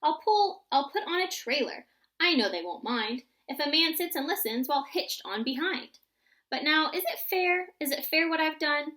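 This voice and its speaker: A woman reads as an imaginative young boy from a rhyming storybook. The voice is bright and fairly high, excitable, thinking aloud as each tall tale grows bigger. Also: moderate pace. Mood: musing, excited.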